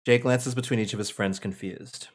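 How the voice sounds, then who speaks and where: Mid-pitched man reading stage directions. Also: steady tone, moderate pace. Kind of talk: scripted narration at a table read.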